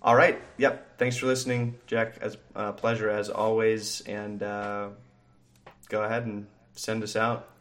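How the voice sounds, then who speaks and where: A man's voice: on the low side, level tone, measured pace, a bit bright. A young man co-hosting a casual sports podcast, rambling with lots of fillers and easy laughs. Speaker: podcast co-host. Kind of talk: casual chat.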